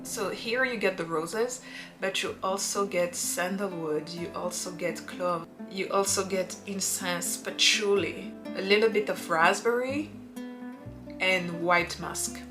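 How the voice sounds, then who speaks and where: Unhurried woman over background music. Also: fairly low pitch. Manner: unhurried, drawn-out phrasing with playful acted quotes. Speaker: perfume reviewer. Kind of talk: casual perfume recommendation vlog. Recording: music playing underneath.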